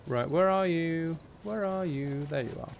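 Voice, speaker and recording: A man's voice: on the high side, a little slow, creaky, a little hiss or hum. A man streaming a video game, thinking aloud in a casual, playful running commentary. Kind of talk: casual live gameplay commentary.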